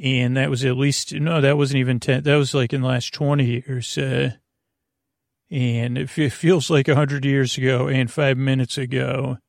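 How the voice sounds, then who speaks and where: A man with a notably creaky, fry-laden voice at an average male pitch hosts a sleep podcast. He meanders and stops mid-thought to start again, drifting off on digressions. The sound is dry, as from a small closet at home. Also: little pitch movement, no echo. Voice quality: creaky, gravelly. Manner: halting, digressive, constantly restarting mid-thought. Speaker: host of a bedtime sleep podcast. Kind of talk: rambling, meandering bedtime monologue.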